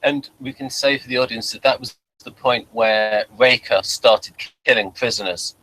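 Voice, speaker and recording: A man with a bright, forward voice at middling pitch hosts a casual review. He speaks conversationally, as one fan discussing a show with another. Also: steady tone, normal speed, rough recording.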